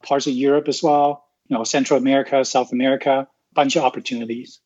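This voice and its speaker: A man's voice: mid-range voice, steady tone, quick pace, darkish tone, slightly rough. A man from Taiwan talks through his ideas as a guest, checking in with "right?" and restarting phrases as he goes. His voice sits in an ordinary middle register. The audio is dull and muffled. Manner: explanatory, frequent "right?" tags and restarts. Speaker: podcast guest. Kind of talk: casual interview talk.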